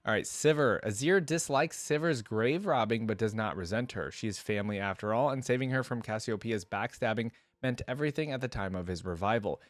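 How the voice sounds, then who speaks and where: Low-voiced man giving casual reaction commentary. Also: brisk, hardly any pauses, no echo. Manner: informal, thinks aloud with frequent asides. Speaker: reaction video creator. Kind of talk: casual commentary mixed with reading a wiki aloud.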